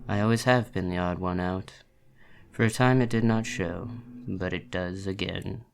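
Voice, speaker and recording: A man's voice: sits low, steady tone, normal speed, creaky. A man playing a brooding, sardonic storyteller in an audio drama, narrating in a wordy, formal, theatrical way. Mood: bleak, sorrowful. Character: brooding, sardonic male storyteller of a dark tale.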